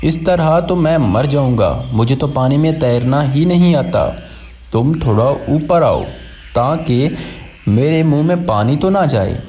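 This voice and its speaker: A man voicing an anxious, quick-thinking monkey in a children's story, worried and protesting. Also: fairly high pitch, expressive, mellow, a little hiss or hum. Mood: alarmed.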